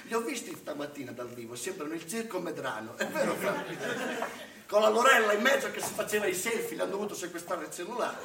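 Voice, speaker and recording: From a little way off in an echoing room with faint noise comes a rambling, irreverent chef, played by a male stage actor. His voice is high and forceful. He delivers a sardonic theatre monologue in colloquial, exclamatory bursts, digressing and prodding listeners with small questions. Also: animated, a little fast, crowd noise. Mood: mocking, animated. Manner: colloquial, digressive, exclamatory, punctuated with rhetorical check-ins. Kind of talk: comic theatre monologue, colloquial direct address. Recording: distant roomy live recording, faint noise.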